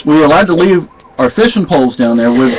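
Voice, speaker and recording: A man's voice: fairly high pitch, animated, fast talker, a crowd in the background. A man from Texas with a Southern accent, telling rambling, folksy stories in a casual vlog, often restarting his sentences. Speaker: vlogger. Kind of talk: casual storytelling chat. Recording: dull lo-fi sound.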